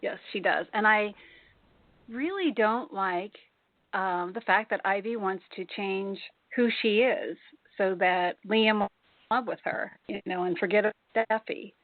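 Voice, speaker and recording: A woman's voice: mid-range voice, unhurried, dry recording. A woman co-hosting a casual talk show, chatty and laughing often. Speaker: co-host. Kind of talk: casual chat. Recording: phone-like call audio.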